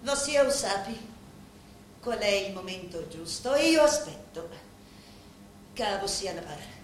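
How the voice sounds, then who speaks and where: An actress playing a deferential, devoted Sicilian woman who serves a local boss, speaking a reverent, deliberate stage monologue with a Sicilian accent. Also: mid-range voice, lively intonation, lightly acted. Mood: solemn, devoted. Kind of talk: theatrical dramatic monologue in dialect. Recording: very echoey room, faint background noise.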